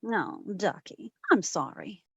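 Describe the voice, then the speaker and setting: A woman playing a sharp, wry private investigator in an old-time radio detective drama, narrating and trading teasing endearments. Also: mid-range voice, expressive, slow pace, slightly rough, no room sound, exaggerated voice. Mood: fond, suspicious.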